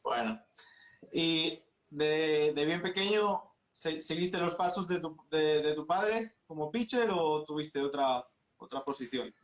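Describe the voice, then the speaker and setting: A male interviewer with a slightly high voice asks his questions in stops and starts, repeating words as he feels his way through each one. He talks as the host of a live-stream interview. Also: expressive, slow.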